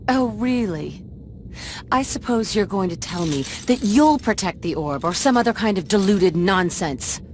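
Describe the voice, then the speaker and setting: A woman's voice: mid-range voice, animated, measured pace, darkish tone, lightly acted. A woman acting a haunted, determined warrior in a video game, curt and intense with a defiant edge. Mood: bitter, defiant. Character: embittered, determined female warrior, haunted but resolute. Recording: clear background noise and ambience.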